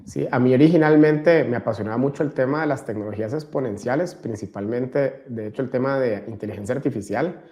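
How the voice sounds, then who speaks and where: A man's voice: medium pitch, fairly quick, few pauses, dark tone. A man from Costa Rica with a Costa Rican Spanish accent, talking enthusiastically as a podcast guest. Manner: enthusiastic, uses tag "¿verdad?". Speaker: podcast guest, chapter leader. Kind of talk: casual conversation.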